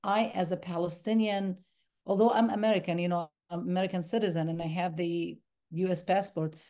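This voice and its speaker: A woman's voice: on the low side, steady tone, fairly quick, mellow. A woman from the West Bank, a documentary filmmaker answering interview questions thoughtfully, often restarting her sentences. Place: university screening room.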